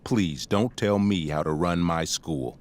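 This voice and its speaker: Deep-voiced, stern male school principal. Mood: stern, disappointed. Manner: measured, formal, officious. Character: stern, formal male high school principal, middle-aged. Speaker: voice actor. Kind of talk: dramatic video game cutscene acting.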